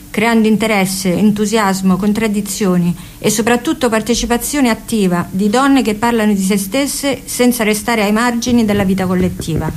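Woman reading a radio narration. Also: fairly high pitch. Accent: Italian. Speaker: reader. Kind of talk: read-aloud narration for radio.

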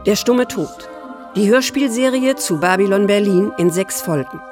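Female credits announcer, formal even read, music clearly behind. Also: medium pitch, moderate pace. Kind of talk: scripted announcement.

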